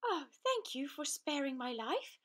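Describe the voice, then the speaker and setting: Woman voicing a tiny high-pitched storybook mouse. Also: very animated, a little slow, a bit bright, full character voice. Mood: grateful, earnest. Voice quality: small high voice. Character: small timid, kindly female mouse, earnest and helpful. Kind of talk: children's storybook read-aloud.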